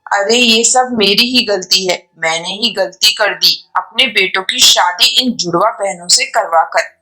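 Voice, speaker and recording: A woman's voice: medium pitch, unhurried, pushed and bright, soft background music, low-quality recording. A woman voicing an older, exasperated mother-in-law pigeon in a cartoon story, with a dramatic, scolding manner. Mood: dismayed. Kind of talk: Hindi animated moral story acting.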